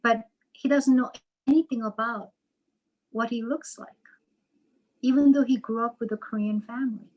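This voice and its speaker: A woman author and psychotherapist answering interview questions in a measured, reflective way over a video call. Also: fairly high pitch, steady tone, muffled recording. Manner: slow, measured, thoughtful with repetitions.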